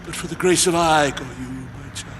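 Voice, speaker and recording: An actor playing an embittered, deranged older colonist leader in a video game cutscene, halting and theatrical as he justifies himself. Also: a little high, noisy background, lightly acted. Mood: ranting self-justification. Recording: music under the voice.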